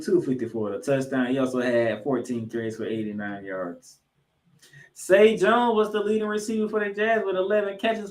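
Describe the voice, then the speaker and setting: A man's voice: medium pitch, a bit bright. Man recapping football in an echoey room. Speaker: sports podcast co-host. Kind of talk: football recap commentary with stat read-outs. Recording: echoey, roomy sound.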